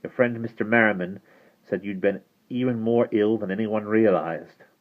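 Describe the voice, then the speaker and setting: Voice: mid-range voice, moderate pace, pitched above the performer's own voice, close to an everyday voice. Guy doing a proud teasing teen boy for an audiobook, clipped and emphatic, muffled phone-line sound. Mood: brisk, concerned. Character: proud teenage Welsh boy, brisk and teasing. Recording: muffled, phone-like narrow band.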